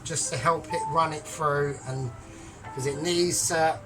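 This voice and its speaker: A man's voice: a little high, fairly even intonation, a little slow, rough recording. Chatty man explaining cooking steps. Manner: chatty step-by-step explaining, joking asides. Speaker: home cook. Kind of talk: casual cooking tutorial. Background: music.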